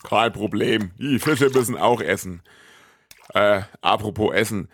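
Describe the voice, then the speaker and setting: Man acting a low-voiced husband adrift at sea. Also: measured pace, fairly bright tone. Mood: dryly reassuring. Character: jittery husband stranded at sea with his wife. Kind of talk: amateur film re-enactment in a podcast.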